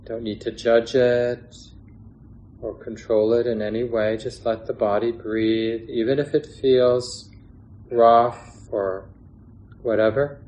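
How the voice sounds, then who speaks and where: A man teaching a guided meditation in a gentle, unhurried way, pausing between short phrases. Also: medium pitch, little pitch movement, a little hiss or hum, poor audio. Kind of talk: guided meditation instruction, unscripted.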